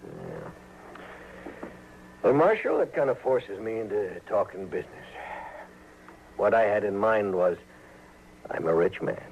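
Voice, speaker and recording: Crooked frontier sheriff, folksy menace, dull and faintly hissy old radio recording. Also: male voice, lowish voice, huge pitch swings, measured pace, vocal fry, long pauses, exaggerated voice. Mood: wheedling. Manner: folksy, slyly threatening. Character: corrupt, smooth-talking, menacing frontier sheriff. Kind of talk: old-time radio western acting.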